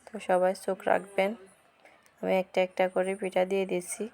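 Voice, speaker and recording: A woman's voice: medium pitch, completely flat delivery, a little fast, creaky, a little mellow. A woman vlogger talking viewers casually through her cooking step by step, repeating herself as she explains each action, in a noisy home recording. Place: village cooking spot with wind-blown fire.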